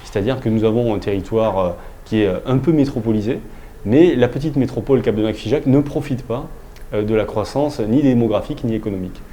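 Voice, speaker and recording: Male local official, low and mellow, measured explainer with hesitant pauses, noisy recording. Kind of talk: explanatory public presentation.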